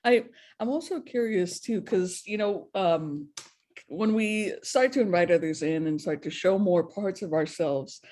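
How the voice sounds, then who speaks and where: A moderator guiding a panel discussion, asking gentle, curious questions with many fillers. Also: mid-range voice, measured pace.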